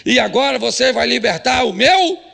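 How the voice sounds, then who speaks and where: Brazilian preacher guy doing god giving orders, solemn and emphatic, gravelly and high. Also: animated, sharp tone, in a large hall, exaggerated voice. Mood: solemn, commanding. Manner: slow, emphatic commands. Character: God, solemn commanding voice calling and sending a prophet.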